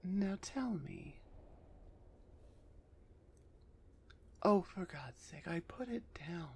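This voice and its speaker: A woman voicing a gruff female minotaur executioner in an ASMR roleplay, husky and often half-whispered, impatient and sardonic with her captive. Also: on the low side, a little mellow, full character voice. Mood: grudging, impatient.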